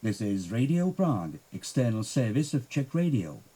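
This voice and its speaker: A male radio announcer with a mellow, relaxed tone and medium pitch reads station schedules. His delivery is formal and measured, each item carefully enunciated. It sounds like a 1990s shortwave archive recording. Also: husky.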